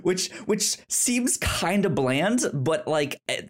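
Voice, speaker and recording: Halting, stammering podcast host in casual chat. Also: mid-range voice, sing-song. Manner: halting, stammering, repeats words. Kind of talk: casual film review chat.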